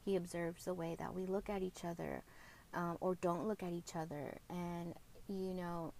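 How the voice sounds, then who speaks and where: A woman hosting a podcast, speaking openly and reflectively about co-parenting, often pausing and correcting herself. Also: on the low side, fairly even intonation, gravelly, darkish tone. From Mexican heritage, grew up speaking Spanish at home. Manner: reflective and open, with frequent fillers and self-corrections. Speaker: podcast host. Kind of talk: candid personal podcast monologue.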